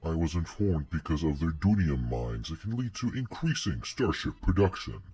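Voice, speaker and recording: A man's voice: extremely low pitch, expressive, moderate pace, warm tone, slightly rough, exaggerated voice. A man playing a dutiful military subordinate in a sci-fi audio drama, formal and deferential toward his superior. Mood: respectful, inquiring. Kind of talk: scripted sci-fi audio roleplay acting. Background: background music.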